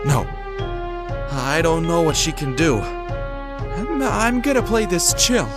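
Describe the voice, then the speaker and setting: A man's voice: on the high side, big pitch swings, slow pace, over loud music. A man playing a suspicious, determined young man in an audio drama, urgent and confrontational. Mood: alarmed.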